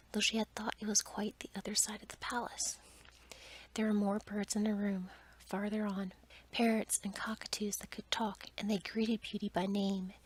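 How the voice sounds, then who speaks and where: A woman reading a bedtime story aloud for ASMR in a soft, breathy, measured whisper. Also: mid-range voice, rough recording.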